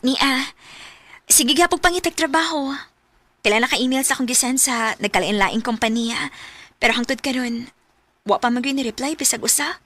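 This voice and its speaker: An actress playing a sweet, affectionate young girlfriend in a Filipino radio drama, with a Cebuano accent and a girlish, expressive delivery. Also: high-pitched, normal speed. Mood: worried. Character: young adult girlfriend, sweet, affectionate, job-hunting graduate. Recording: music behind the voice.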